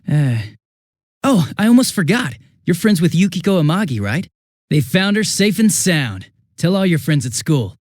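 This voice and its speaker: Bumbling young American detective, very animated. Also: male voice, a little high, measured pace, no echo, studio quality. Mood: friendly, goofy. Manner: sheepish, stammering, blabbing, casual. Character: bumbling, chatty young male police detective, goofy and nervous. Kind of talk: English video game dub voice acting.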